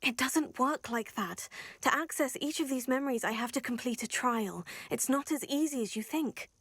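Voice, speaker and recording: A woman's voice: sits high, lively intonation, normal speed, fairly bright tone, breathy edge, no room sound, heavily acted. An actress playing an earnest, poised young witch student in a video game, articulate and polite. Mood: explaining, composed. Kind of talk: scripted video game acting.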